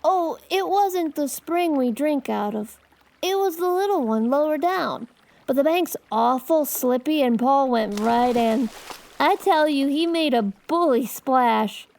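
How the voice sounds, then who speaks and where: A woman's voice: high-pitched, lively intonation, measured pace, gravelly, darkish tone, heavily acted. A woman voicing a talkative eight-year-old boy in an audiobook, earnest, mischievous and curious, with childlike, slangy speech. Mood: excited storytelling. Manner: childlike chatter with slangy, ungrammatical wording. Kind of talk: dramatized audiobook character acting.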